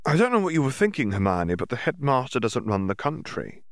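A man voicing an earnest teenage wizard boy who explains things quickly and sometimes lowers his voice. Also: big pitch swings, no room sound, clean studio sound. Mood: explaining. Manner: fast, hushed, hesitant at times.

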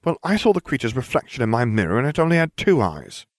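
A man's voice: mid-range voice, very animated, quick pace, no echo, studio quality, pitched above the performer's own voice. A man voicing an earnest, bookish twelve-year-old schoolgirl in a storybook reading. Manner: earnest, softly insistent.

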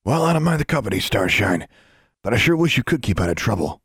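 A man's voice: lowish voice, sing-song, fast talker, a bit bright, husky, dry recording, clean studio sound, voice pushed up, heavily acted. A man voicing an easygoing, kindly detention supervisor in a story, with a mild, folksy manner. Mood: amiable.

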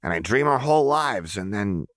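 A man's voice: lowish voice, animated, moderate pace, fairly bright tone, no room sound, clean studio sound, voice pushed up. Man doing an eager, restless young woman. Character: restless, dreamy young woman, cheeky and impatient.

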